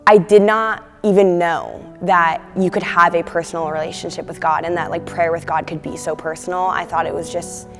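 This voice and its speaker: A woman's voice: on the low side, steady tone, moderate pace, hardly any pauses, in a large hall, faint music behind. A young woman from Connecticut, a college student, reflecting on her faith with many casual fillers. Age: young adult. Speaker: student giving testimony. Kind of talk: personal testimony.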